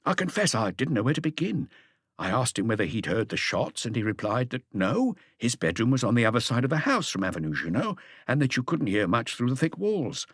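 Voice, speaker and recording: A man's voice: medium pitch, big pitch swings, fairly quick, breathy edge, dry recording, studio quality. A man voicing an earnest, slightly awkward thirty-five-year-old police inspector reporting in careful detail in an audiobook. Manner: detailed, deferential reporting with asides.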